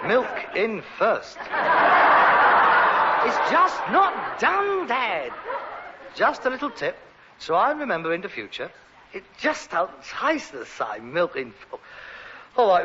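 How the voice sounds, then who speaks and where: A man's voice: sits high, exaggerated voice. A man playing an exasperated, pretentious Cockney rag-and-bone man, a put-upon grown son in a sitcom, whining and indignant. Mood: snooty. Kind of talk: British sitcom acting. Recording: dull, noisy old sitcom recording.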